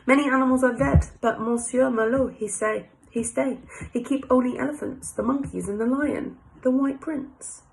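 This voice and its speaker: A woman's voice: sits high, normal speed, gravelly, voice pushed up, rough recording. A woman voicing a resigned, mournful male French cafe owner in a storybook, with a put-on French accent and broken English. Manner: broken English, short fatalistic phrases. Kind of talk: storybook character voice.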